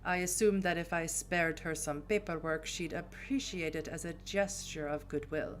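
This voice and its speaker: A woman's voice: low voice, moderate pace, a little hiss or hum, lightly acted. A woman voicing a brusque, blunt young woman in a story game, with an American accent. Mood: flustered. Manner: curt and brusque. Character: gruff blunt woman, a brash young outsider.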